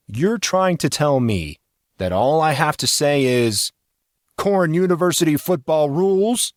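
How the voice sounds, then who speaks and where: A man's voice: fairly high pitch, lively intonation, a little slow, no echo, clean studio sound. A man playing a powerful, jovial older executive in an audio drama, with a teasing, theatrical delivery. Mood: mock disbelief. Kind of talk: audio drama character acting.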